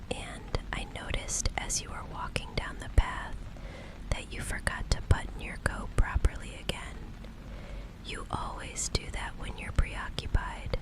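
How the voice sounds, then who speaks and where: A woman playing an obsessive, tender private investigator in an ASMR roleplay, speaking in breathy, soothing, intimate reassurance. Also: natural voice, poor audio. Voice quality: breathy, unvoiced whisper. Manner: slow, hushed, soothing reassurance.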